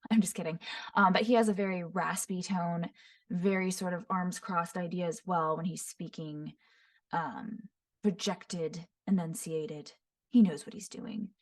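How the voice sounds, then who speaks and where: A woman voice actor teaching voice impressions, describing each voice in short phrases and often breaking into quick character demos. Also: fairly low pitch, steady tone. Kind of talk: voice impression tutorial. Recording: voice somewhat far from the mic.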